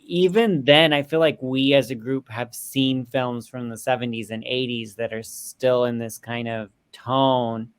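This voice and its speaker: A man chatting casually about film as a panelist, halting as he thinks aloud. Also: medium pitch. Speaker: panelist on a film review show.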